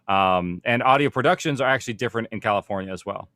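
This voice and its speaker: A man's voice: fairly low pitch, quick pace, fairly bright tone, no echo. A man speaking informally as a panel host, explaining things in a conversational way. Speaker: panel host, audio production producer.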